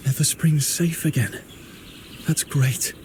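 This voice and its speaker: A man's voice: fairly high pitch, fairly even intonation, takes it slowly, background noise. A man playing an earnest, caring young hero in a video game, speaking sincerely. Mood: relieved. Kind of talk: video game character voice acting.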